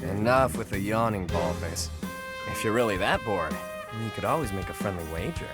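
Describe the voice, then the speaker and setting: Man playing a sly, animated young gang boss. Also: fairly low pitch, normal speed, loud music behind the voice, natural voice. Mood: dry, teasing. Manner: wry, smooth, sardonic. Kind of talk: video game voice acting.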